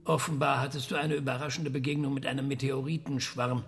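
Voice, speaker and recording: An older male professor played by a man in a radio play, his mid-pitched voice full of creak. He questions patiently and carefully, leaving deliberate pauses between phrases. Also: little pitch movement, lightly acted. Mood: probing, patient. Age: 60s. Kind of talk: radio drama acting.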